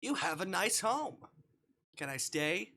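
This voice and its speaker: Man voicing a bright, eager, clingy strange houseguest. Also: high voice, big pitch swings, slow pace, gravelly, pitched above the performer's own voice, heavily acted. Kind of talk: visual novel dialogue read aloud.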